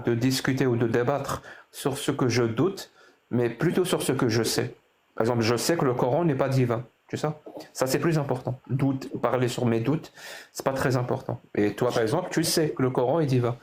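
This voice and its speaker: A man's voice: medium pitch, moderate pace, a little mellow. Insistent, methodical man hosting a debate. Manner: insistent, methodical questioning with repetition. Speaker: debate show host. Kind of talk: live religious debate.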